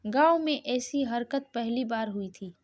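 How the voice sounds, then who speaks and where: A woman's voice: fairly high pitch, unhurried, darkish tone. A woman narrating a scripted moral story as the storyteller.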